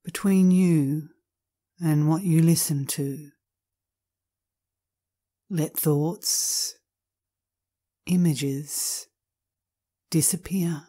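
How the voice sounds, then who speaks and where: A woman with a low, mellow voice guides a meditation. She reads very slowly and calmly, setting out each short phrase on its own and leaving space after it. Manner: calm, measured, short phrases with long pauses. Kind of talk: slow guided meditation reading.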